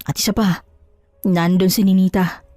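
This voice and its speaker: A woman voicing an earnest, forgiving male friend who gently persuades in an audio drama. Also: lowish voice, steady tone, moderate pace, dry recording, studio quality, soft background music, lightly acted. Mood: coaxing. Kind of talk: Tagalog audio drama acting.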